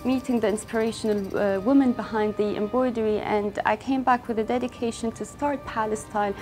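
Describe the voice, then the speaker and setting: A woman's voice: a little high, fairly even intonation, a little fast, mellow. Earnest young woman, faint music behind. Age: young adult. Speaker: fashion brand founder. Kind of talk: interview monologue.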